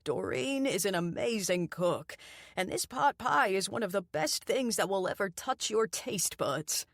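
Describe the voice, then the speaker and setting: A woman voicing an affable older husband who talks in a slow, easygoing drawl. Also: medium pitch, lively intonation, vocal fry, no room sound, studio quality. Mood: affectionate.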